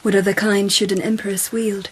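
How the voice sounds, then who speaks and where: Woman acting a breathy, softly commanding empress. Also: medium pitch, level tone, a little hiss or hum, lightly acted. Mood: bold, exhilarated. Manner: soft, breathy, deliberate, often half-whispered. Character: gentle but commanding young Japanese empress, intimate and bold. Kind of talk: intimate radio drama acting.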